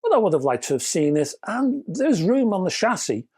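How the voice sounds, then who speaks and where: A man's voice: high-pitched, very animated, moderate pace, darkish tone, slightly rough. A British man narrating a scripted product review with chatty, wry asides and emphatic phrasing.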